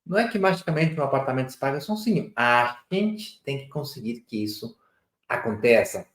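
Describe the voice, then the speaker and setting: A man's voice: medium pitch, big pitch swings, brisk, a bit bright, reverberant. A man from Chile with a Chilean Spanish accent, hosting a casual talk as a real estate broker, chatty and correcting himself as he goes. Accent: Chilean Spanish accent in Portuguese. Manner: chatty, self-correcting, drifts into Spanish words. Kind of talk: casual presenting to camera.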